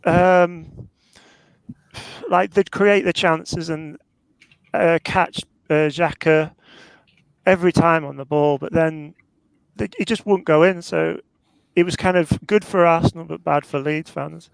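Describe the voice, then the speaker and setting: A man's voice: on the high side, steady tone, measured pace, gravelly, clean tone, no echo, low-quality recording. A man appearing as a guest on a football podcast, talking in a hesitant, thoughtful way with many fillers. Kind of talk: casual football chat.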